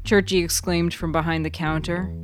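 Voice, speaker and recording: A woman reading scripted fiction as its narrator, in a measured, deliberate storytelling manner. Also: on the low side, little pitch movement, soft background music. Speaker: author and narrator of a fiction podcast.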